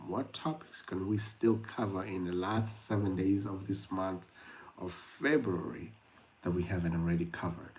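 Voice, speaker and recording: A man's voice: low voice, normal speed, darkish tone, slight background noise, rough recording. A young man from Kenya hosting a late-night livestream, chatting directly to his viewers with frequent fillers. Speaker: YouTube livestream host. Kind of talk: casual livestream talk to viewers.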